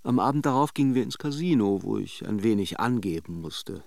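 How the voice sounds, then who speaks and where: An actor playing a worldly, ironic middle-aged man who recalls an affair in a radio drama, with deliberate hesitations. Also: lowish voice, expressive, gravelly, a little mellow, no echo. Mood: wistful, wry. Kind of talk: radio drama monologue, narrated as a confession.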